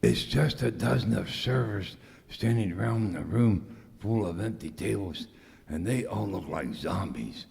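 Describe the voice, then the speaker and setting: A man's voice: on the low side, animated, a little slow, gravelly, darkish tone. Man playing a puzzled passenger in echoey hall. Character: puzzled, complaining male cruise passenger. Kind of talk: amateur live comedy horror radio skit. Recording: echoey hall, long reverb.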